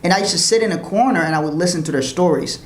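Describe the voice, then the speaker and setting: A man's voice: high voice, rapid-fire, echoey room. A man from Liberia, a financial professional telling his story earnestly as an interview guest, often restarting his phrases.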